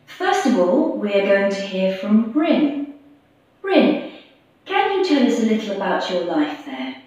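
Composed actress as female radio host, unhurried and mellow, on muffled classroom playback. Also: mid-range voice, big pitch swings. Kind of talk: scripted textbook radio interview. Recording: muffled echoey playback through classroom speakers.

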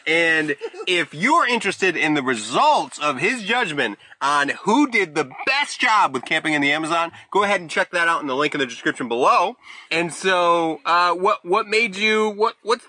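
A man hosting a podcast, asking questions in casual interview chat, halting and rephrasing as he goes. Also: fairly high pitch, very animated, sharp tone, no room sound.

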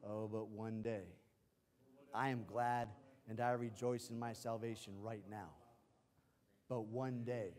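A man preaching a fervent sermon at a church pulpit, swinging from shouted praise to hushed, low tones, his voice strained from losing it. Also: little pitch movement, takes it slowly. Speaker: pastor. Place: church sanctuary.

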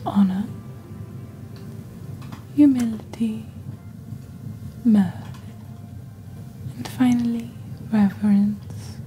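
A woman softly presenting products in a hushed, breathy, gentle and deliberate ASMR style, like a scripted fantasy shopping channel. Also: fairly high pitch, fairly even intonation, faint music behind, low-quality recording. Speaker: host of a witch-themed shopping channel roleplay.

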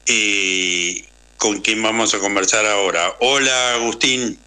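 A man hosting a radio talk show with a Rioplatense accent, his voice fairly low but very bright and cutting. He asks questions casually, filling gaps with hesitant sounds. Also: fairly even intonation, slow pace, clean tone. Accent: Rioplatense Spanish. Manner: informal, hesitant with fillers. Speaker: radio host. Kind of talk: radio interview.

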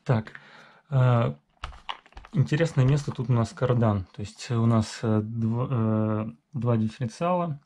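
A man casually walking through a new RC truck in a workshop, talking haltingly with many fillers and self-corrections. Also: medium pitch, warm tone, slight background noise. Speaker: RC hobby reviewer. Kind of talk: casual unboxing walkthrough. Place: indoor garage workshop.

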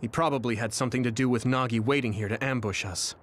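A man's voice: medium pitch, fast, close to an everyday voice. An actor playing an earnest, determined young male soldier. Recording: game cutscene with music behind.

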